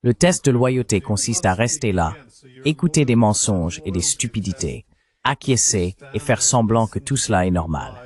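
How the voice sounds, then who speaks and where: A man's voice: on the low side, lively intonation, normal speed, a little mellow. A man giving a translated voice-over for an interview host, articulate and argumentative in a political discussion.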